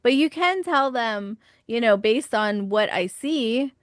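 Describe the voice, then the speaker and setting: A woman's voice: a little high, animated, slow pace, dry recording. Woman host, dry close sound. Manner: supportive, laughs, says "yeah" often. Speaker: podcast host. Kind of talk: casual interview chat.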